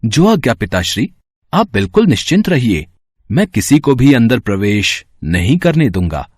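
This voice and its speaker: A man playing a young elephant-headed boy god, dutiful and boldly calm, with polite, formal speech. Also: medium pitch, normal speed, husky, no room sound. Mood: dutiful, firm. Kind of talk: acted dialogue in a Hindi mythological story.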